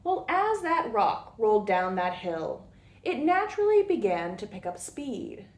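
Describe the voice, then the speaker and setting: A woman reading a storybook aloud to children, expressive and deliberate, with little asides to the listeners. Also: on the high side. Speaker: children's story time reader.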